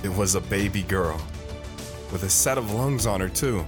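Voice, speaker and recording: A man's voice: sits low, normal speed, music in the background, natural voice. A man playing a devoted, reflective wandering father who tells his own story in an audio drama, earnest and sentimental. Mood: reflective, tender. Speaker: narrator.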